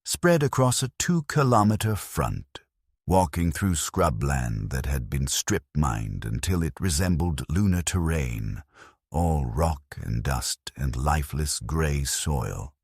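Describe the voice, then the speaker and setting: A man giving a solemn, measured, scripted first-person narration of a dark dramatic story. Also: extremely low pitch, very animated, husky, dry recording, studio quality.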